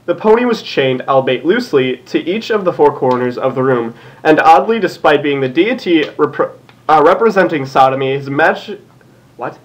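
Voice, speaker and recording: A man reads fan fiction aloud in an informal, comic way. His voice sits fairly high and swings around in pitch, and he is giggly and apt to trip over the text. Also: poor audio. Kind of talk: informal comic read-aloud, breaking into banter.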